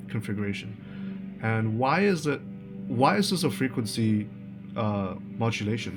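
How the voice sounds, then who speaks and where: Man explaining electronics haltingly over music. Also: mid-range voice. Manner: halting, thinking aloud. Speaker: electronics tutorial presenter. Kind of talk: informal technical explanation, unscripted.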